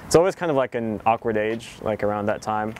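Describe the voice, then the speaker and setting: A young man with a lowish voice talks in a relaxed, chatty way, full of "like" and "you know". Faint traffic hums behind him, as in an outdoor interview. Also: brisk. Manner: relaxed, chatty, many fillers. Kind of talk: casual documentary interview.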